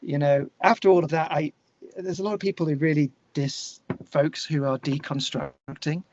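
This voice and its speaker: A man with an English accent talking candidly and reflectively as an interview guest, searching for his words in a halting way. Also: fairly high pitch, fairly even intonation, darkish tone, dry recording, highs cut off.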